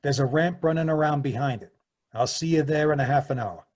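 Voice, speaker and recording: A man playing a curt, menacing mystery caller in 1940s radio crime drama, terse, guarded and husky, over a video call. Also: on the high side, brisk, darkish tone, slight background noise, close to an everyday voice. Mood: guarded, businesslike. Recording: live video call performance.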